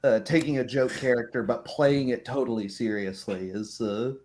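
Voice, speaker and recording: A man's voice: mid-range voice, steady tone, normal speed, crowd noise. A man who runs a tabletop game, talking casually in a group discussion.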